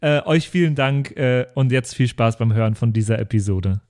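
A man's voice: mid-range voice, moderate pace, a little mellow, no echo. A man speaking casually as a player in an improvised tabletop role-playing podcast. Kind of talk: casual improvised game chat. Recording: music bed behind the voice. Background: background music.

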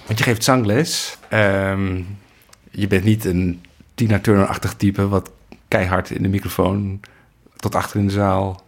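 A man's voice: deep voice, fairly even intonation, measured pace. A man with a Netherlands Dutch accent, interviewing in a casual, informal way with teasing questions.

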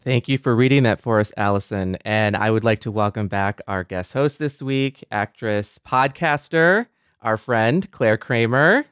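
A man with a medium-pitched voice hosts a podcast conversationally. His voice is recorded dry, with little trace of a room. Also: a little slow, a bit bright, clear, resonant tone, dry recording. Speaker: podcast host.

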